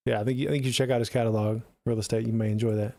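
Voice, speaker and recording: A man's voice: mid-range voice, flat delivery, racing, creaky, a little mellow. A man hosting a livestream, giving casual music feedback and chatting with viewers in laid-back, slangy talk. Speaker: livestream host reviewing submitted music.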